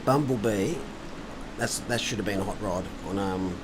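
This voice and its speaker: A man's voice: lowish voice, normal speed. Chatty Australian man, rambling commentator. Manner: rambling, jokey storytelling. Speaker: sim racing commentator. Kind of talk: casual race commentary chat. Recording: noisy, with background hum.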